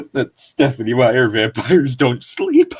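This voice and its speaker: A man's voice: mid-range voice, huge pitch swings, drawn-out words, a little mellow, husky. A man reading aloud for comedy and adding wry, mocking asides in casual banter. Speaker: co-host reading and commenting.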